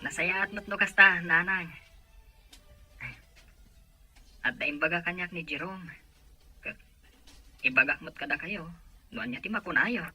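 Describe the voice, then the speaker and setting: A woman's voice: on the low side, normal speed, pauses often, pushed and bright, husky, soft background music, full character voice, poor audio. A woman with an Ilocano accent playing a careful grown child breaking news to a parent in an audio drama. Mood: respectful. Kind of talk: radio drama acting.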